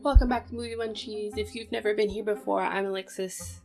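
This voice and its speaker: A woman's voice: mid-range voice, fairly even intonation, a little fast, over music. A young woman hosting a casual movie commentary, chatty and opinionated, talking straight to viewers while sniffly from a cold.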